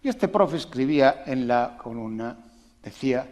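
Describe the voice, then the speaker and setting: A man giving a public talk to an audience on education, animated and emphatic, asking rhetorical questions and making conversational asides. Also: medium pitch, moderate pace. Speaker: invited speaker on education.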